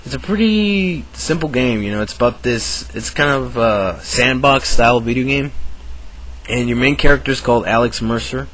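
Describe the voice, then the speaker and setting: A man with a cold and a sore throat, chatting casually to camera in a halting way, full of fillers and restarts. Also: medium pitch, fairly bright tone, poor audio. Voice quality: raspy. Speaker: video maker.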